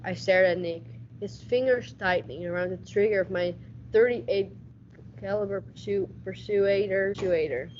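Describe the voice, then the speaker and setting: A woman's voice: mid-range voice, moderate pace, a little mellow, close to an everyday voice. A teenage woman playing a hard-nosed male police detective in a radio play, narrating in hardboiled style with some stumbles over her script. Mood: dry, hardboiled narration. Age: teens. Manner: stumbles and repeats words while reading. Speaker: school radio drama actor. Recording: dull audio with faint noise.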